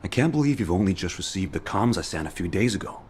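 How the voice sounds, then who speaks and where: A low-pitched, battle-worn soldier from a video game. He talks bluntly and earnestly, with a frank, coarse edge. Faint noise sits behind the voice. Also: lively intonation, fast talker, darkish tone. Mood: agitated, incredulous. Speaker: recon squad soldier.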